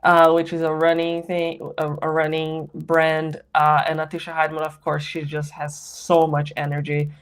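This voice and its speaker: A woman's voice: low-pitched, completely flat delivery, normal speed, few pauses, clean tone. A woman co-hosting a sports talk show, chatting casually and laughing often. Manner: chatty, laughs often, quotes others in voices. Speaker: podcast co-host.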